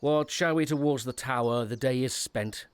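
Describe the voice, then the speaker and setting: An actor playing an uneasy, cautious lord in a Shakespearean audio drama, speaking deliberately. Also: male voice, medium pitch, clean tone, no echo, close to an everyday voice. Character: wary, uneasy English lord, cautious counsellor.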